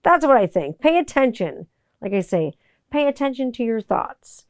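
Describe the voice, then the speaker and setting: A woman in her forties hosting a podcast, talking in a blunt, profane, animated monologue. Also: fairly high pitch, normal speed, no room sound, studio quality. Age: 40s. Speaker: podcast host. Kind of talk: casual monologue.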